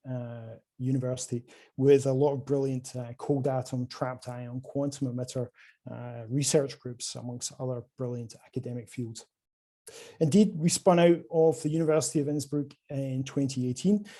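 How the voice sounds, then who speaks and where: Scottish man, mellow voice, conference talk over a video call, lots of hesitations. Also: medium pitch. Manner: hesitant, many filler sounds, lively rises and drops in level. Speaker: conference speaker from a quantum computing company.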